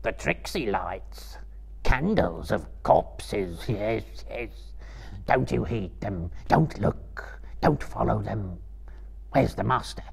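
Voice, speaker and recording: A man voicing a wretched, sly small creature in a live book reading, hissing and rasping, with drawn-out, repetitive muttering. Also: on the low side, lively intonation, heavily acted. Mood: wary whispering.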